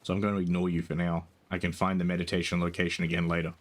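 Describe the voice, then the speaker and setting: A young man with a soft, soothing voice, chatting casually while streaming a game, rambling with lots of ums and casual swearing. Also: low voice, completely flat delivery, rapid-fire. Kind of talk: casual gaming stream chat.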